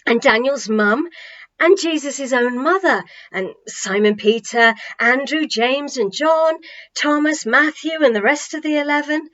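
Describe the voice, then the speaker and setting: High-voiced woman reading a children's story. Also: measured pace, fairly bright tone, highs cut off. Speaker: author-narrator reading a children's novel. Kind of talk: expressive storybook narration.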